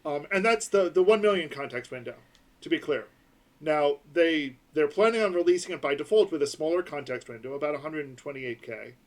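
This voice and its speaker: Man explaining tech in a fairly high voice. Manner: explanatory, breaks numbers into slow pieces. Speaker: podcast co-host. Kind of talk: casual tech chat.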